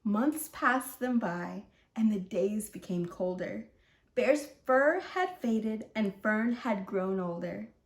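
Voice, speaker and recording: Slow-reading woman storyteller, echoey room. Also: fairly high pitch. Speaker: children's story host and reader. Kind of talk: read-aloud storytelling in rhyme.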